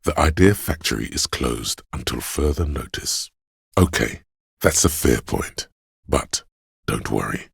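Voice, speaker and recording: An actor playing an arty, grandiose inner voice of imagination in a video game, narrating theatrically and savoring each image in a gravelly, husky tone. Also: male voice, very deep voice, no echo, clean studio sound, exaggerated voice. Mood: musing, encouraging. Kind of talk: dramatic second-person game narration.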